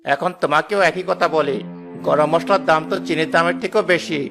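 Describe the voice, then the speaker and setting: A man's voice: fairly high pitch, brisk, vocal fry. A man voicing a stingy, grumbling village tea seller in a cartoon, curt and scolding. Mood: grudging, snappy. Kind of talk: cartoon dub. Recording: music behind the voice.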